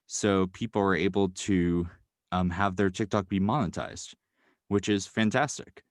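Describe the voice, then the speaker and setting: Deep-voiced upbeat young man. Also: steady tone, unhurried, no room sound, clean studio sound. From New York. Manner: earnest, upbeat, many ums and likes. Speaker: guest, writer and podcast creator. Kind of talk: casual podcast interview.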